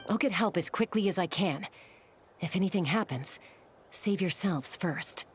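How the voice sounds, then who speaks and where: Game role: actress as cautious female protector, lower register, practical and serious. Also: lively intonation, normal speed, faint music behind, close to an everyday voice. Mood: concerned. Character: level-headed, protective woman leader, cautious and caring. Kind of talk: video game voice acting.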